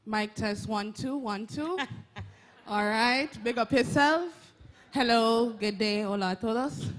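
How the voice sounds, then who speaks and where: A woman's voice: fairly high pitch, slow pace, a bit bright. Brief: Jamaican woman, audience question, sincere and rambling, handheld mic. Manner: earnest, long winding question with restarts. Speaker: audience member asking a question. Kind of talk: question from the floor. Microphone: handheld roving mic.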